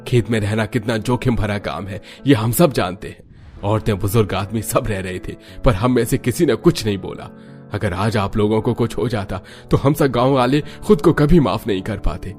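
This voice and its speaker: A man's voice: on the low side, moderate pace, darkish tone, soft background music, natural voice. A man playing a kindly, protective villager in a cartoon story, speaking earnestly and solemnly. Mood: solemn, resolute.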